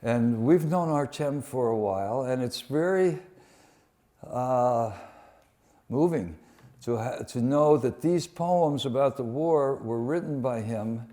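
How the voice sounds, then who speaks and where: A man introducing poems at a live reading, talking informally in a halting, digressive way. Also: mid-range voice, dark tone. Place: museum event before an audience.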